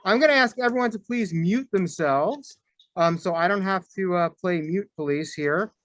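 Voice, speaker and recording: Man, rabbi host, chatty, hesitant with fillers, high pitch, dry sound. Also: moderate pace, fairly bright tone, no echo. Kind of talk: introducing a speaker on a video call. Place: room with people behind him. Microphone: camera.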